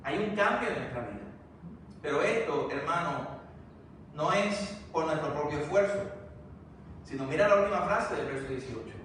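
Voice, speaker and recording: Echoey high-voiced Puerto Rican preacher. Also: male voice, animated, moderate pace, pauses often, fairly bright tone. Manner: repetitive preaching cadence, addresses congregation directly. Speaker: pastor. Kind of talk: sermon. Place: church sanctuary. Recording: very echoey church, distant mic, faint noise.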